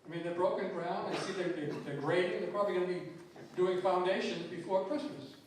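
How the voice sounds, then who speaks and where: A man's voice: high voice, steady tone, a little fast. An older man giving a blunt, frustrated public comment at a town meeting, often repeating words as he speaks. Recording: very echoey hall, distant mic, faint noise.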